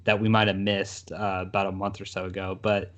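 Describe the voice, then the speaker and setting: A man with a fairly low voice hosts a casual sports talk show. He speaks conversationally, laying out topics for the others to discuss. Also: level tone, a little fast, fairly bright tone. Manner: conversational, posing questions to co-hosts. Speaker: sports podcast host.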